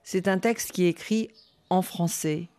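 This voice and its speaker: A woman's voice: lowish voice, measured pace, warm tone, no echo. A woman hosting a radio interview who sums up her guest's words and leads into the next question.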